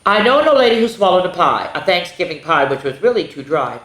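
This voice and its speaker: A woman hosting a children's story time, reading a picture book aloud expressively, with exclamations and asides to the young listeners. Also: fairly low pitch. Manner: expressive, exclaiming, pausing to comment and ask the listeners. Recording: echoey room.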